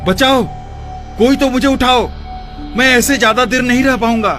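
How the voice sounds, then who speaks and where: A man voices a brash, mocking young college student from a story cartoon, pitched high for a man. He is cheeky and provoking, with music playing behind him. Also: moderate pace. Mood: panicked.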